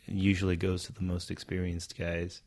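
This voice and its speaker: A man's voice: deep voice, monotone, normal speed, a little mellow. A middle-aged man whose first language is Vietnamese, talking casually and animatedly as a podcast guest and stunt performer.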